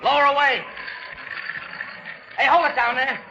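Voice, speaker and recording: An actor playing an urgent sailor calling out lifeboat orders in 1940s radio drama style. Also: male voice, extremely high pitch, steady tone, measured pace, pushed and bright, full character voice. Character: urgent, shouting male sailor loading lifeboats. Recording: noisy, muffled 1940s radio recording.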